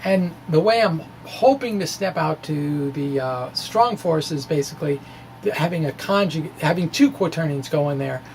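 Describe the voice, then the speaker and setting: A man giving an informal explanatory lecture in a halting, conversational way, with asides. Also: fairly high pitch, animated, darkish tone, a little hiss or hum. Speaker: physics blogger presenting derivations.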